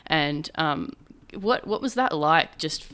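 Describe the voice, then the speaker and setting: A woman's voice: low voice, lively intonation, takes it slowly, a bit bright, clean tone. A woman from Queensland hosting a casual podcast interview, chatty and rambling with restarts. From Queensland, Australia. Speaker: podcast host. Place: small office studio.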